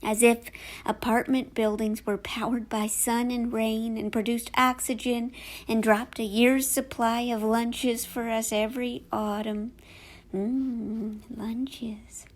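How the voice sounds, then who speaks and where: A woman playing a defensive, food-obsessed squirrel posing as a human in a comic monologue, halting and over-insistent. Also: fairly high pitch, flat delivery. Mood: chatty, wistful.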